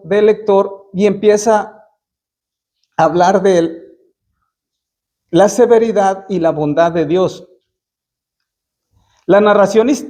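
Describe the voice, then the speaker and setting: A man with a fairly high voice preaches a sermon. He reads scripture slowly and breaks it down in plain speech. His delivery is halting, full of repeated words and restarts. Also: darkish tone. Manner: reads verses slowly, then explains in plain talk. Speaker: Baptist preacher.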